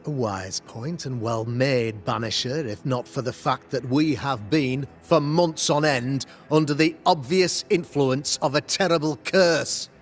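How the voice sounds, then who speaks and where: A man's voice: medium pitch, measured pace, few pauses. An actor playing a self-righteous Puritan witch-hunting governor in a video game, speaking in a formal, preacherly, pompous way. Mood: grave, insistent. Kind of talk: dramatic video game acting.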